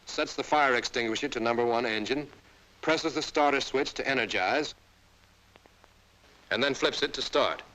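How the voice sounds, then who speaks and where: A man giving scripted instructional narration for a military training film, talking to the viewer directly as "you" in a brisk way. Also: mid-range voice, bright, forceful tone, poor audio.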